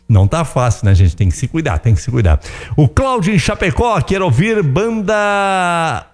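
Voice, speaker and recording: A man's voice: mid-range voice, a little mellow. A middle-aged man, hosting a casual radio show with a slightly strained voice, always checking in with his listeners. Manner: chatty, keeps tagging questions to listeners. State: voice strained, close to giving out. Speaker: radio host. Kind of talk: casual radio chat with ads and song requests.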